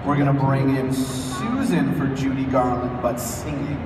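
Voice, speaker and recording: A man's voice: medium pitch, unhurried. A man casually hosting a live stage table reading, giving out directions to performers. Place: large convention hall stage. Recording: echoey convention hall, distant mic, very noisy.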